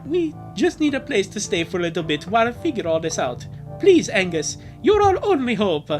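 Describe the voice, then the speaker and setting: A woman's voice: mid-range voice, big pitch swings, fairly quick, darkish tone, soft background music, pitched above the performer's own voice, natural voice. A woman voicing a cheerful young boy runaway who earnestly pleads for help. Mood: pleading.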